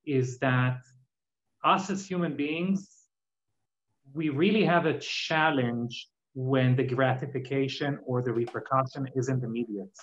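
A man's voice: mid-range voice, steady tone, unhurried. A man from Israel with an Israeli accent, talking reflectively in a casual conversation and explaining his points with examples. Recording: muffled lo-fi audio.

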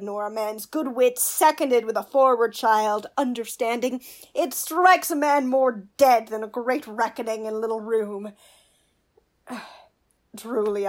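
A woman's voice: fairly high pitch, a little slow, natural voice. A woman playing a wordy, pompous male court fool in a Shakespearean radio play, with a theatrical, mock-learned manner. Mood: wooing, pompous.

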